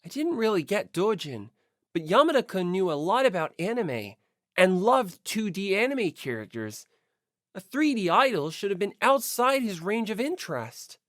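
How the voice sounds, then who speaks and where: A woman reads first-person fiction as an audiobook narrator. Her delivery is expressive with wide, lively intonation, and the sound is dry. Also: mid-range voice, moderate pace, dry recording. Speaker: audiobook reader.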